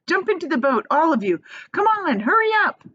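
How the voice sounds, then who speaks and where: A woman's voice: very high voice, very animated, a little fast, sharp tone, lo-fi sound, pitched above the performer's own voice, heavily acted. A woman voicing an exuberant, eccentric male factory owner in a children's storybook, bossy and delighted, with showy, urging exclamations. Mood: brisk, urging. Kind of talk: children's storybook read-aloud.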